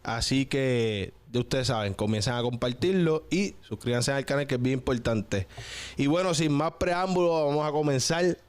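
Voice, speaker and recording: Male interview host, clear resonant mid-range voice, casual delivery. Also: mid-range voice, normal speed. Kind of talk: casual conversational hosting.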